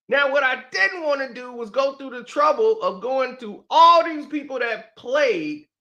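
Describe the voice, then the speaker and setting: A man's voice: extremely high pitch, sharp tone, highs cut off. A man giving a heated, mocking sports commentary rant that repeats its points.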